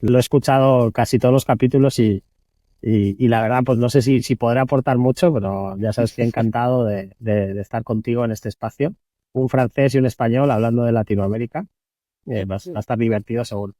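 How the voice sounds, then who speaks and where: Mellow Madrid-born man, relaxed storyteller. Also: medium pitch, a little fast, dry recording. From Spain (Madrid). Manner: relaxed, self-correcting, repeats words while thinking. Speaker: guest entrepreneur. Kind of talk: casual interview storytelling.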